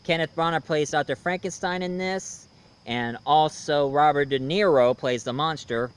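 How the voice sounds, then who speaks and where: A man's voice: on the high side, moderate pace, clean tone. A man giving a casual, rambling movie review with playful, theatrical emphasis. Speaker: video reviewer, voice impressionist.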